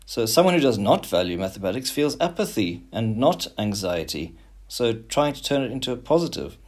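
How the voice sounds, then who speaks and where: A man's voice: medium pitch, very animated, fairly quick, a little mellow. A man hosting a podcast, presenting in a scripted narration style. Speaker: podcast host.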